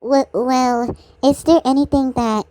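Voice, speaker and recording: A woman doing amateur voice acting of conversational dialogue for a fan-made animated cartoon. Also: high-pitched, unhurried, no room sound.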